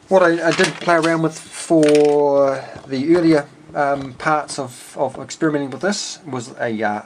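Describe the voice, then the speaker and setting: A man giving an informal technical walkthrough as a hobby builder, thinking aloud in a hesitant, halting way. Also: mid-range voice. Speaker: amateur radio builder presenting a project. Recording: clear steady background noise.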